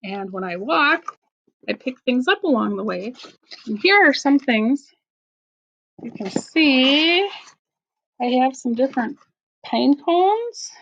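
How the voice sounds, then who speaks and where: Very animated woman teacher, slightly dull recording. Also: sits high, slow pace, pauses often. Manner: expressive, encouraging children to imagine. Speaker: elementary school teacher. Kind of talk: casual instructional talk to children.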